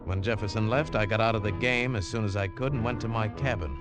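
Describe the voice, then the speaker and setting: An actor playing a hard-boiled, suspicious adventurer, terse and sardonic, in a 1940s radio drama. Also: male voice, fairly low pitch, fast, music in the background, close to an everyday voice. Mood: tense narration. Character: hard-boiled, suspicious male adventurer narrating his own tale. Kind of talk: 1940s radio drama acting with first-person narration.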